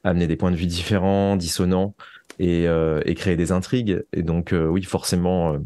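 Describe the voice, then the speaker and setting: A man's voice: low voice, little pitch movement, normal speed, warm tone, no room sound. A man speaking as a writer in a reflective interview, searching for his phrasing and repeating words as he goes. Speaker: guest, writer of audio fiction.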